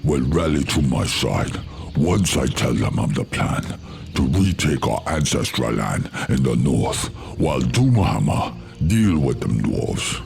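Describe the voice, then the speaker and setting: A man's voice: a bass voice, very animated, a little slow, darkish tone, slight background noise, exaggerated voice. A man playing a proud, vengeful troll leader in a fantasy game. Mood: grave, resolute. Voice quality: gravelly. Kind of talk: fantasy game cutscene acting.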